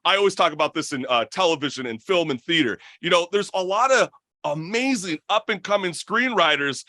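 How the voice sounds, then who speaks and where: A young male host with a high, bright voice chats casually and effusively. He rambles in slangy phrases, and the sound is close and dry. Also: expressive, fairly quick, no echo. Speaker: talk show host. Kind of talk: casual chat.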